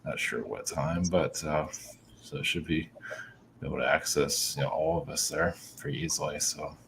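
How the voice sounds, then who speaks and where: A man speaking as a panel guest, relaxed and trailing off as he winds up his remarks. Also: extremely low pitch, moderate pace, creaky, a little hiss or hum. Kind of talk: casual panel chat.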